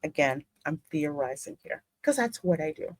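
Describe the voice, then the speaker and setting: A woman's voice: low-pitched, sing-song, moderate pace, a little mellow, faint music behind. A woman hosting a gaming commentary, emphatically theorizing aloud about the story as she reacts. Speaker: gaming host.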